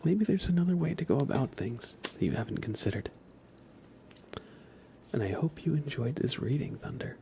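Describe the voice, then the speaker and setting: A man hosting an ASMR livestream, speaking softly and breathily in a gentle, soothing, unhurried way, often slipping into a whisper. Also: mid-range voice, animated, creaky, slight background noise. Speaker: livestream host. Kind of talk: ASMR livestream, chatting to viewers.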